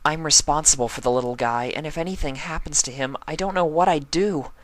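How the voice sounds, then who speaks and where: A young cartoon unicorn mare played by a man, raised well above a natural male pitch. She is earnest and take-charge, with a worried, pleading edge. The delivery is bright and emphatic. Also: brisk, dry recording, lightly acted. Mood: worried, frustrated. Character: earnest, anxious young female unicorn pony, bossy but caring.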